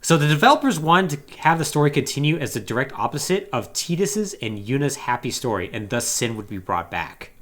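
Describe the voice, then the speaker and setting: An animated podcast host talks casually about games. The pitch moves widely around a middle register, and the delivery often tips into sarcastic asides. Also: a little fast, few pauses. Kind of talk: casual video game discussion.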